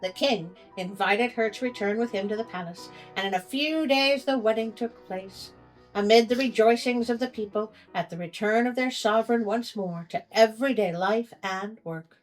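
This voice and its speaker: A woman's voice: on the high side, normal speed, soft background music, low-quality recording. A woman storyteller reading a tale aloud in a theatrical, tongue-in-cheek way, as a show host would. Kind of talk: storybook reading with comic asides.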